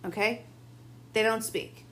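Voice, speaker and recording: A woman doing a late-night tarot reading, talking in a rambling, confiding way, her voice gone a bit raspy. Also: a little high, fairly even intonation, slow pace, fairly bright tone, a little hiss or hum. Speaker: tarot reader. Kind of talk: late-night spiritual monologue.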